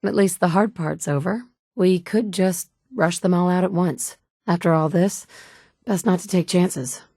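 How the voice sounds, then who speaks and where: A woman's voice: on the low side, measured pace, warm tone, no echo, clean studio sound. A blunt, sarcastic wasteland mother. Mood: steady. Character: determined young mother searching wasteland for kidnapped son. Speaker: player character voice actress. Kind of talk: video game voice lines. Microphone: studio mic.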